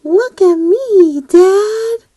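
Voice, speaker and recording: Eager storybook froglet, young boy played by a woman: high, childlike, exuberant, very animated. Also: drawn-out words, no echo, clean studio sound, exaggerated voice. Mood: excited. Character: eager little boy tadpole growing into young frog.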